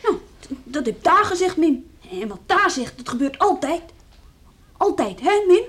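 An eager little country boy in a radio drama. The voice is high and swings up and down, trusting and childlike, tripping over words. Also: big pitch swings, moderate pace. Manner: hesitant, stammering, childlike. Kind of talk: radio play acting.

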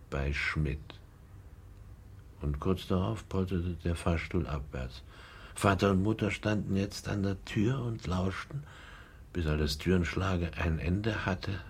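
Radio drama: haunted man in midlife, very low voice, brooding storyteller, faint rumbling noise. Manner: brooding storytelling, dropping to hushed tones. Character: haunted middle-aged man, brooding and sardonic.

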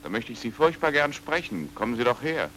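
An actor playing a stubborn, combative pulp novelist in a German radio crime drama, speaking in a terse, dry, direct way. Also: male voice, medium pitch, big pitch swings, fairly quick, fairly bright tone, a little hiss or hum, poor audio. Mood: brisk, eager.

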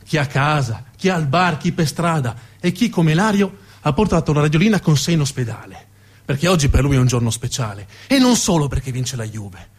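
A man narrating a scripted theatre monologue in measured, deliberate short phrases. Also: on the high side, sing-song, slightly rough. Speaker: storyteller, stage actor.

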